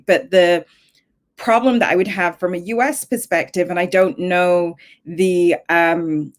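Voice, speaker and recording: A woman from Zambia speaks on a panel with a fairly low voice. She talks it through thoughtfully, pausing on fillers and restarting phrases as she goes. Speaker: panelist and tech founder. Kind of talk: panel discussion.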